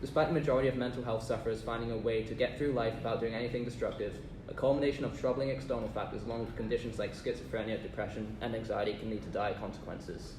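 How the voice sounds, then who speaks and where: Fast-reading man, distant and echoey. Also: on the low side, steady tone. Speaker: stage-direction reader. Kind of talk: reading script directions aloud. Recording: echoey, distant room with noise.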